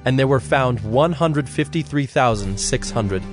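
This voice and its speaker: A man narrating scripture from a script in a measured, formal way. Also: medium pitch, lively intonation, over music.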